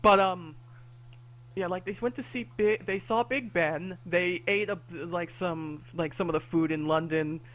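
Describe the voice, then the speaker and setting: A man's voice: sits high, a little slow, a bit bright. A man co-hosting a podcast in casual chat, hesitant, full of fillers and self-corrections. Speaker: podcast co-host.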